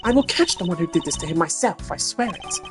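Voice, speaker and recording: Proud, intense female investigator acted by a woman, lowish voice with big melodic swings, haughty, video game role. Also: animated, brisk, music in the background, lightly acted. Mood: indignant. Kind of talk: amateur video game dialogue voicing.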